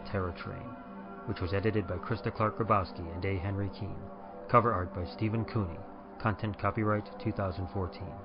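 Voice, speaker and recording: A man playing an ominous train conductor, narrating in a measured, theatrical old-time radio horror style. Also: low-pitched. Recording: dull lo-fi sound under music.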